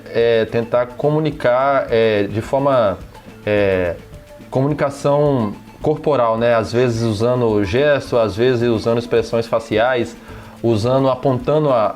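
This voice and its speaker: A Brazilian-accented man hosts a relaxed podcast conversation. He talks in a loose, halting way, often restarting and tagging his sentences, over faint music. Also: medium pitch. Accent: Brazilian Portuguese. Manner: hesitant, frequent restarts and tag questions. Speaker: podcast host. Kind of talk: casual interview chat.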